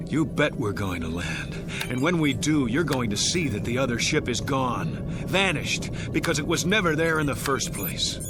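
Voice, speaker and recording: An actor playing a stubborn spaceship captain in a science fiction radio drama, forceful and authoritative, barking clipped orders. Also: male voice, medium pitch, big pitch swings, normal speed, few pauses, close to an everyday voice. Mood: stern. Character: stubborn commanding male spaceship captain in denial. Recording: loud music under the voice.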